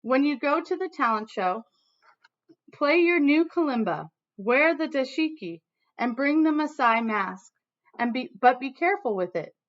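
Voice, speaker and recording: A woman's voice: high voice, expressive, unhurried. A woman voicing an encouraging adult uncle who urges and advises in a storybook. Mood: encouraging, cautioning. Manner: urging, advising. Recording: dull, lo-fi sound.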